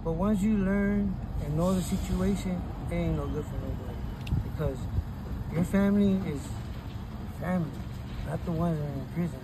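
A middle-aged man with a fairly high voice tells his story in an animated, gesturing way. He sits outdoors by a chain-link fence, filmed close on a phone. Cars and street ambience run clearly behind him. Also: moderate pace. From Texas. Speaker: interviewee. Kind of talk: casual street interview. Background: traffic and street ambience.

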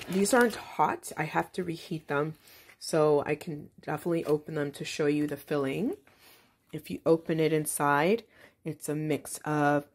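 A woman's voice: low-pitched, vocal fry, darkish tone. A woman in her forties, an author, chatting casually through a home cooking video with frequent ums. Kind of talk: casual cooking vlog narration. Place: home kitchen.

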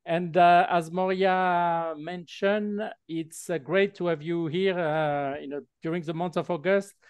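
A man's voice: high-pitched, fairly even intonation, measured pace, smooth, dry recording. A man co-hosting an online meeting, talking with many fillers and restarts over a video call. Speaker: meeting co-host.

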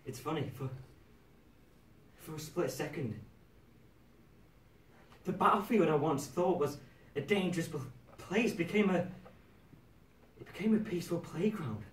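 A young man performing a stage monologue as a wounded, haunted soldier, speaking deliberately with dramatic pauses. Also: on the high side, animated. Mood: haunted, reflective. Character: wounded young soldier recalling losing his legs in battle. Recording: roomy, distant mic, faint background noise.

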